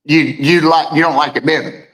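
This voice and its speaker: A man with a deep voice co-hosting a casual morning talk show, rambling and repeating words as he reads viewer comments. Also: fairly even intonation, normal speed, fairly bright tone, low-quality recording. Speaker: co-host.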